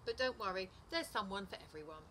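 A woman's voice: mid-range voice, animated, fast talker, sharp tone, slight background noise. A woman voicing a kindly storybook owl who is frank but reassuring.

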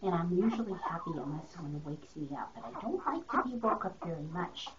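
A woman's voice: on the low side, very animated, fast, far from the mic, very noisy. A woman voicing a female puppy who has just been woken and complains about it mildly, on a muffled home video. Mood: grumbly.

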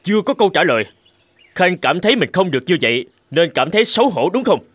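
A man's voice: sits high, fast, no echo, heavily acted. A man voicing a pompous, impatient king who fires off demanding questions. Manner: brusque, questioning, raised and lively. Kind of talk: cartoon voice acting.

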